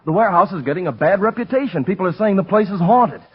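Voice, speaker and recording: Nervy male proprietor, insistent and melodramatic, slightly high rough-edged voice, vintage radio drama with dull sound. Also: lively intonation, quick pace, full character voice. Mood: agitated, pleading. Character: agitated, pleading, anxious warehouse owner. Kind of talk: 1930s radio drama acting. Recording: muffled 1930s radio recording.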